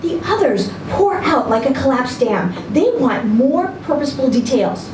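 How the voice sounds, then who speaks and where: A woman's voice: sits high, sing-song, normal speed, a little mellow. A woman reading a literary short story aloud expressively at a live event. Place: indoor social venue, live reading event. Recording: echoey noisy room, distant event video mic.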